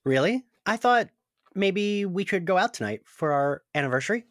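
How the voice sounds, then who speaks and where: A woman's voice: mid-range voice, expressive, moderate pace, no room sound, clean studio sound, natural voice. A woman playing a blunt, literal-minded and needy young ex-demon in a comedic table read. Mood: hopeful. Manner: blunt, insistent.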